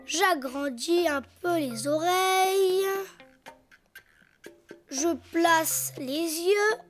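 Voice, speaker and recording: A very high, childlike cartoon voice for a little boy donkey. He chatters to himself at an unhurried pace, cheerful, imaginative and expressive. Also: soft background music, heavily acted. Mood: cheerful, busy.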